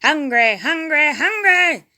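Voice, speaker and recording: A woman acts out a greedy male cartoon monster with a huge appetite. The voice is loud, blunt and pushed up high into a hungry bellow. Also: big pitch swings, slow, exaggerated voice. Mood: ravenous bellowing.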